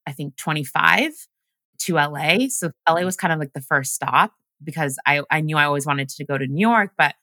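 A woman's voice: low voice, lively intonation, fairly quick, pushed and bright, no echo. A woman whose first language is Luganda, raised in Washington State, telling stories casually as a podcast guest with lots of fillers. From grew up in Washington State. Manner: chatty storytelling, many fillers. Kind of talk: casual conversation.

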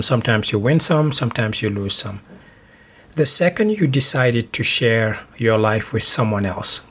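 A middle-aged man from Senegal talks into a podcast microphone with a husky, slightly breathy voice. His pitch sits in an ordinary middle range. He gives earnest relationship tips at a measured pace, sometimes repeating a word as he restarts. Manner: measured, earnest advice-giving with small restarts. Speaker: podcast host. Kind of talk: relationship advice podcast monologue.

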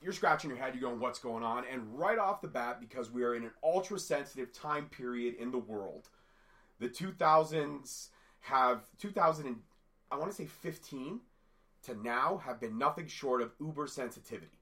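A man co-hosting a casual podcast, raspy from a cold, talking at length in an animated, rambling way. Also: mid-range voice, moderate pace. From Canada. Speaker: podcast co-host. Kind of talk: casual wrestling podcast chat.

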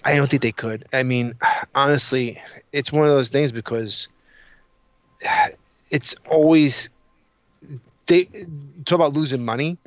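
A man's voice: mid-range voice, measured pace, long pauses, no room sound. A man co-hosting a podcast, chatting casually about his opinions in a blunt, emphatic, profane way. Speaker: podcast co-host.

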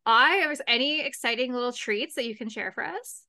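A woman hosting a casual podcast chat, bubbly and quick to laugh. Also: on the high side, sharp tone. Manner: bubbly, laughs often, talks over others. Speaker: podcast host.

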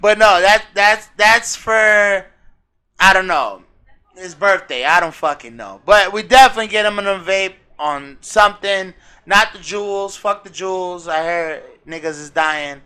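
Man, American accent, podcast host, high pitch, sharp bright tone, excitable, profane slangy banter. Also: unhurried, clean tone.

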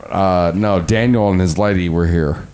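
A man's voice: deep voice, normal speed, darkish tone, clean tone. A man hosting a casual chat, swearing and laughing a lot as he teases his guests. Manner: profane, laughs a lot, teasing. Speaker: host.

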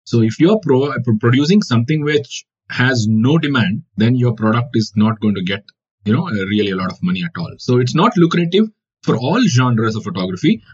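A man's voice: mid-range voice, expressive, a little fast, darkish tone, husky. A man from India whose first language is Kannada, giving reflective interview answers as a wildlife photographer with many fillers. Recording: dull lo-fi audio.

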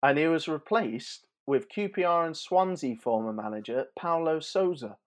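A man's voice: a little high, expressive, normal speed. A man in his thirties chatting informally and a little hesitantly as a podcast host previewing sport. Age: 30s. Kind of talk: casual football preview chat.

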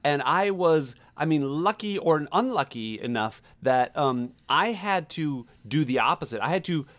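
Halting male podcast co-host, casual talk. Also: on the high side, expressive, fairly bright tone. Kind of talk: casual, personal discussion.

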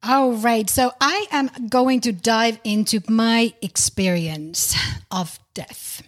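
A woman's voice: a little high, slow. Middle-aged woman telling her story. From Finland. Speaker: podcast host. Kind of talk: personal storytelling on a podcast.

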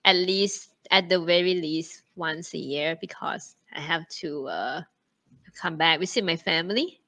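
A young woman from Thailand chats easily in tinged by years in Singapore. Her voice sits a bit low but sounds bright and forward. She rambles warmly, filling her pauses ums and small laughs. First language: Thai. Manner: chatty, many fillers, laughs often. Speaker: guest. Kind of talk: casual conversation.

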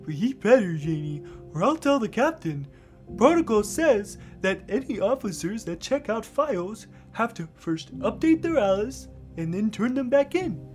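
A woman playing a childlike, lonely and eager records clerk in an audio drama, with a giggly, halting, naive delivery. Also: mid-range voice, sing-song, darkish tone, faint music behind, natural voice. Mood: hesitant, earnest.

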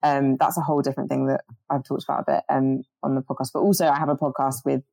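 Low, mellow-voiced woman, earnest climate organizer. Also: fast, vocal fry, dry recording. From born in Jamaica, grew up in the UK. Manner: earnest and animated, restarts sentences often. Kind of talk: casual interview over a video call. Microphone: video call.

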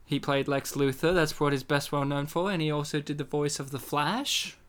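A man's voice: a little high, fairly even intonation, normal speed, a bit bright, smooth. A man co-hosting a casual film commentary podcast, rambling and joking between his points. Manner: jokey, rambling, slips into silly impressions. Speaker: podcast co-host.

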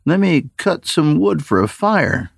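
A man's voice: on the high side, very animated, measured pace, vocal fry, husky, no room sound, studio quality, voice pushed up, exaggerated voice. A man voicing a cocky, scheming teenage boy in a post-apocalyptic audio story, with a brash, casual way of talking. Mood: falsely friendly.

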